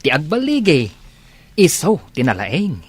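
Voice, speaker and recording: A man's voice: a little high, huge pitch swings, slow pace, husky, no echo, exaggerated voice. A man with an Ilocano accent playing an earnest young village suitor in a radio comedy drama. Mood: challenging. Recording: music behind the voice.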